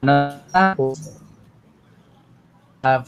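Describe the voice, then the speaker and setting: Man, online English teacher, slow, patient, repeats himself, laptop mic. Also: on the high side, dull audio, music in the background. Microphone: computer mic on a video call.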